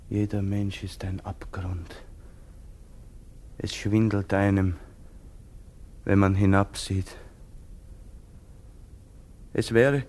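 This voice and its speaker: A man's voice: low voice, creaky, a little mellow, a little hiss or hum, heavily acted. An actor playing a feverish young soldier losing his mind in a German radio play, speaking in obsessive, broken fragments. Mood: brooding, dazed. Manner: obsessive repetition in broken fragments, swinging between whisper and outburst.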